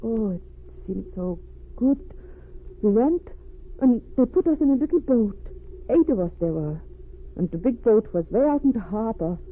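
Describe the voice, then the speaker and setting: An actress playing a distraught refugee woman, speaking haltingly with foreign word order in an old radio drama. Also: a little high, animated. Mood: troubled. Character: distraught refugee wife haunted by a smuggler's crime. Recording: tinny, noisy vintage radio recording.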